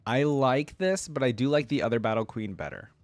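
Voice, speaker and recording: A man's voice: mid-range voice, fairly quick, no room sound. A man giving casual reaction commentary as a video host, thinking aloud in informal, slangy language.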